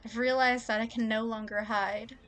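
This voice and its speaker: A woman voicing a nervous, lovesick man in an audio story, with a halting, stammering, anxious way of talking. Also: fairly high pitch, flat delivery, a bit bright, soft background music, natural voice. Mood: earnest, reciting.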